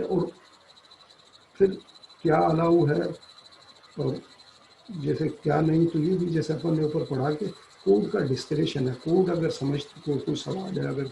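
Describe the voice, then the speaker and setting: A man giving an explanatory law lecture, halting and deliberate, reading out formal passages and switching between languages to paraphrase them. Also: on the high side, creaky, dark tone, a little hiss or hum, low-quality recording.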